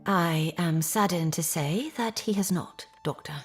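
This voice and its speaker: A woman's voice: fairly low pitch, unhurried, slightly rough, soft background music. A woman playing a polite, anxious young Victorian lady in an audio drama, with formal, earnest speech. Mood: uneasy.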